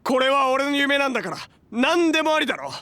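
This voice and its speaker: A man's voice: very high-pitched, very animated, fast, pushed and bright, heavily acted. A man playing a brash, hot-blooded young superhero in a Japanese audio drama, with cocky, slangy, exclamatory delivery. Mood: defiant, confident. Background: prominent music.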